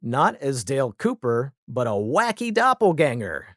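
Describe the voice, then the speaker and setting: A man's voice: medium pitch, big pitch swings, moderate pace, a bit bright, no echo, studio quality. A man talking casually as a podcast guest, retelling things in an opinionated way with emphatic asides and mimicked quotes. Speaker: podcast guest, audio drama creator.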